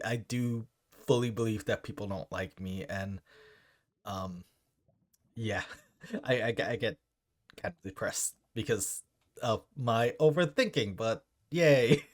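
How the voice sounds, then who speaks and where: A young man with a deep voice chatting casually while opening gifts. He stammers and restarts his words often. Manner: stammering, repeats words, halting. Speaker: Minecraft content creator.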